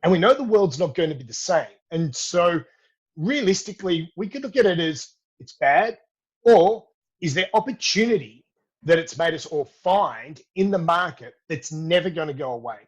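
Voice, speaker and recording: A man's voice: a little high, lively intonation, normal speed, husky, lo-fi sound. A middle-aged Australian man with an Australian accent, a fitness educator answering interview questions in an upbeat, friendly way. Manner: upbeat, calls the host mate. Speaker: podcast guest, fitness educator.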